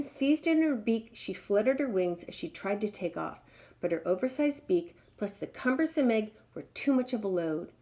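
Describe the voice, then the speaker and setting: A woman's voice: mid-range voice, mellow. A woman storyteller reading a children's tale aloud in a dramatic, emphatic way.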